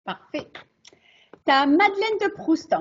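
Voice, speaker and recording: A woman's voice: extremely high pitch, animated, takes it slowly. A woman hosting an interview with short prompts and questions, on dull webinar audio. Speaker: host, interviewer. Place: online video call.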